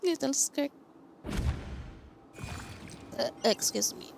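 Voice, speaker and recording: A woman's voice: high-pitched, animated, slow, creaky, background noise. A woman streamer giving bemused, blunt reactions to a game in short casual bursts, swearing as she goes. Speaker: gaming streamer. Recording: game music loud behind the voice.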